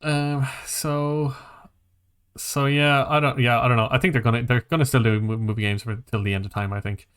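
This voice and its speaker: A man's voice: medium pitch, fairly even intonation, normal speed, fairly bright tone, smooth. A man hosting a casual podcast about games and films, thinking aloud in a hesitant, rambling way. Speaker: podcast host.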